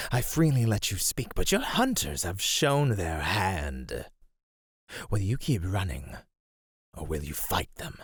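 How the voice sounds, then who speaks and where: Predatory serpent monster, low-pitched, slow and deliberate, teasing, condescending, ASMR roleplay. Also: male voice, husky, no echo, studio quality, heavily acted.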